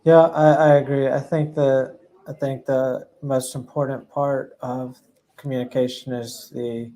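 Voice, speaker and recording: A man's voice: medium pitch, level tone, unhurried, dark tone. A man from Mississippi with a Southern accent, co-hosting a Q&A, reading out questions and chatting in an easygoing way. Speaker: co-host reading viewer questions. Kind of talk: casual chat.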